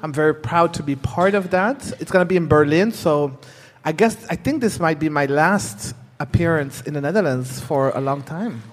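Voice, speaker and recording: A man's voice: a little high, moderate pace, few pauses. A man from Burundi, a panel guest, talking cheerfully and laughing often. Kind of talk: panel discussion. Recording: reverberant hall.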